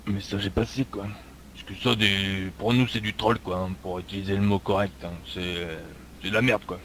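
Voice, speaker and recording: A man's voice: fairly low pitch, flat delivery, brisk, fairly bright tone, close to an everyday voice. A man improvising an exasperated, blunt police lieutenant in online game roleplay, swearing and fumbling for words. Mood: annoyed. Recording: clearly noisy game voice chat.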